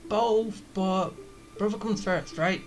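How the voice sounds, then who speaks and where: A man's voice: high-pitched, slow, faint music behind. A man casually reading along and reacting as he plays a story-driven video game. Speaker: gamer commenting on playthrough.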